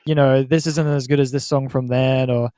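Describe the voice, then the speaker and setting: A man's voice: medium pitch, little pitch movement, moderate pace, warm tone, clean tone, no room sound, clean studio sound. A young man, thinking aloud hesitantly in a casual group podcast chat. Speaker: band member and podcast guest.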